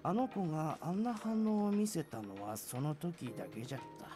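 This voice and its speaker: A man's voice: on the high side, sing-song, rapid-fire. An actor playing an earnest, pleading old grandfather in a visual novel game, with an aged manner of speech. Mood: reflective. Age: older. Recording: music behind the voice.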